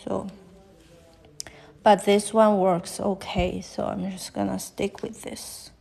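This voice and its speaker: A woman with a Chinese accent, whose first language is Chinese, talks haltingly while she draws fashion illustrations on a live stream. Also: medium pitch, level tone, vocal fry, darkish tone, slightly rough. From China. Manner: halting, thinking aloud while drawing. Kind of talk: live-stream drawing commentary. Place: at a desk, drawing on paper.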